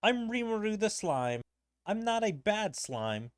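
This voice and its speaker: A man's voice: high voice, sing-song, unhurried, clear, resonant tone, no room sound, clean studio sound, pitched above the performer's own voice. A man voicing a proud young male warrior, reading game dialogue aloud in a grumbling, defensive way.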